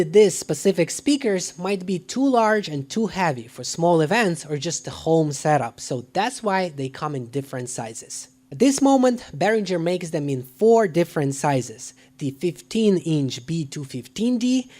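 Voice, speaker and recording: A man presenting a scripted product review to camera in an emphatic, deliberate way. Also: a little high, darkish tone. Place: living room at home. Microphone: dedicated microphone.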